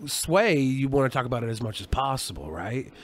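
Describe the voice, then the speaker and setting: A man's voice: mid-range voice, very animated, brisk. A man co-hosting a podcast with casual, profane, mocking commentary. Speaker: podcast co-host.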